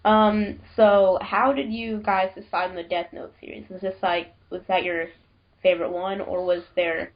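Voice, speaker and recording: A woman with an average-pitched voice puts questions to a guest in a casual, chatty interview style. Her audio is muffled and lo-fi. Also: fairly even intonation, moderate pace.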